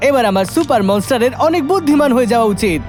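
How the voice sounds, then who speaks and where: A man's voice: very high-pitched, animated, fast, darkish tone, soft background music. A man playing a bossy, boastful mad scientist villain in a dubbed children's cartoon. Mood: gloating. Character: scheming, gloating male mad scientist villain.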